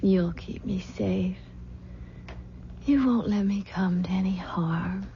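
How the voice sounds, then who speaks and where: Muffled vintage radio drama, exhausted young wife, mellow voice, slow hesitant delivery, anxious. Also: female voice, medium pitch, gravelly. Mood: sleepy pleading. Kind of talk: old-time radio drama acting. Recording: dull old radio recording with hiss.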